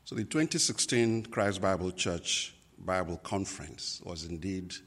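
A man from Nigeria, a native Igbo speaker with a Nigerian accent, preaching a sermon as a pastor, slow and deliberate with many pauses and fillers. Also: low voice, a bit bright, breathy edge, in a large hall.

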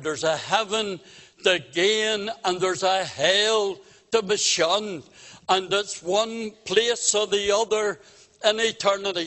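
Male gospel preacher, bright forceful voice, solemn exhortation, long hall reverb. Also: sits high. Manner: solemn, exhorting, with deliberate phrasing and repeated direct appeals. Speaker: evangelist preacher. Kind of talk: evangelistic gospel sermon.

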